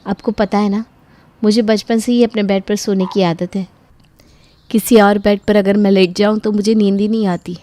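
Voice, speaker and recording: A woman's voice: mid-range voice, steady tone, normal speed, warm tone, dry recording, close to an everyday voice. A woman voicing a young married daughter in a cartoon story, polite but easily wounded and given to complaint. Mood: hurt.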